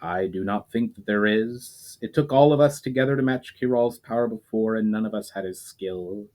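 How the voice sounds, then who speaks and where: A man's voice: lowish voice, normal speed, darkish tone, lightly acted. A man voicing a grave, doubtful ancient elemental spirit in a storybook reading.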